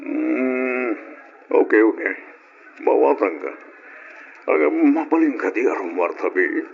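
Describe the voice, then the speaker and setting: Gravelly, flirtatious Ilocano boss. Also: male voice, medium pitch, expressive, slow. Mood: flirty, persuasive. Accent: Filipino, Ilocano. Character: smooth-talking, flirtatious male boss pursuing his employee. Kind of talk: old-time Ilocano radio drama acting. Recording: dull, noisy old radio recording.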